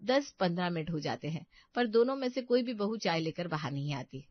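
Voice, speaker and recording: A woman's voice: fairly low pitch, expressive, brisk, no room sound, highs cut off. Woman narrating a story, dry sound.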